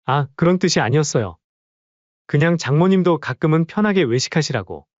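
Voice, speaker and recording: A man plays a smug son-in-law who talks in a brisk, offhand way. His voice sits a bit high for a man. The recording is dry and a little dull. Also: lively intonation, no room sound, close to an everyday voice. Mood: dismissive. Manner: curt, offhand, dismissive. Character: arrogant son-in-law, impatient and dismissive.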